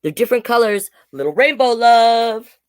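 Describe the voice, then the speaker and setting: A woman's voice: on the high side, animated, moderate pace, a little mellow, smooth. A woman hosting a children's storytime, reading a picture book aloud with chatty, simply worded asides to young listeners. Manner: simple, child-directed explaining with tag questions. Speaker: children's storytime host and reader.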